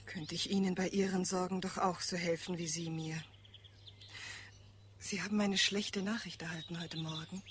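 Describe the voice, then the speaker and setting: An actress playing an anxious, devoted wife in a radio play, with an earnest, emotional delivery. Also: female voice, lowish voice, fairly even intonation, normal speed, slight background noise, close to an everyday voice. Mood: concerned. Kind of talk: German radio play acting. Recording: clear background birdsong and ambience. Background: birdsong in a garden scene.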